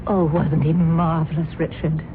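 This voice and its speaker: An actress playing a wealthy wife who gushes about a cult, with a breathy, gushing manner, in an old radio drama. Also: female voice, deep voice, level tone, moderate pace, background noise, close to an everyday voice. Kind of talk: 1970s radio drama acting. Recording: phone-like old radio broadcast with music.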